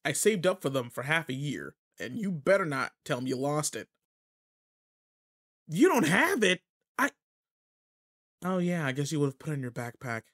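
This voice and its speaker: A man's voice: on the high side, sing-song, normal speed, pauses often, fairly bright tone. A man playing a cocky, irritable teenage delinquent in a roleplay audio drama, talking casually and teasingly straight to the listener. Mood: irritated, teasing.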